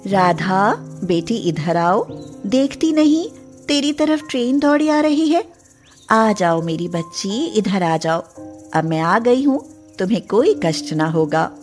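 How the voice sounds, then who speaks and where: A woman playing a protective, commanding mother goddess in an animated devotional tale, solemn and maternal in manner. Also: mid-range voice, takes it slowly, faint music behind. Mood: tender, protective. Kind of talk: animated devotional story acting.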